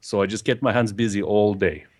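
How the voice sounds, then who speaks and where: A man's voice: fairly low pitch, fairly even intonation, fairly quick. A man co-hosting a gaming podcast in casual, rambling chat, talking into a desk microphone with a pop filter. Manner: rambling, chatty and jokey. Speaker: podcast co-host. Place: home recording setup on camera.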